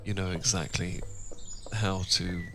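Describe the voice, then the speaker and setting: A British man playing a tender, well-born Regency husband in a romantic ASMR roleplay, speaking softly and formally with affection, often dropping to a whisper. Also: deep voice, steady tone, a little slow, creaky. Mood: tender, teasing. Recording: noisy, birdsong and outdoor sounds behind. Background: birdsong.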